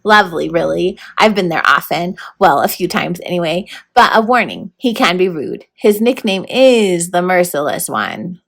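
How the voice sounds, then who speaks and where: A woman's voice: lowish voice, animated, normal speed, gravelly, few pauses. Woman reading as proper, stern storybook horned toad. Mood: matter-of-fact. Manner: proper, grandly worded, matter-of-fact. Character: formal old-fashioned male horned toad mentor, stern, fussy. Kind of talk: storybook read-aloud.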